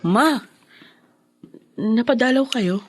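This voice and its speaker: A woman's voice: fairly high pitch, expressive, slow pace, soft background music. An actress playing a reluctant young mother and daughter-in-law, hesitant in manner, in a radio drama. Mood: awkward, polite. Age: young adult. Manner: hesitant, mixing in English words. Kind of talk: Tagalog radio drama acting.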